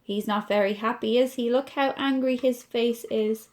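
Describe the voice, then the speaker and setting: A woman with an Irish accent, a children's librarian reading a picture book aloud, gently asking her young listeners questions. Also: on the high side, steady tone, normal speed.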